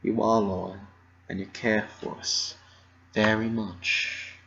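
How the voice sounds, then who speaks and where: A man voicing a kind country mare who comforts a friend in a story reading, soothing, hushed and folksy. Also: fairly low pitch, flat delivery, takes it slowly, creaky, muffled recording, slight background noise, voice pushed down, heavily acted. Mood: gentle, reassuring.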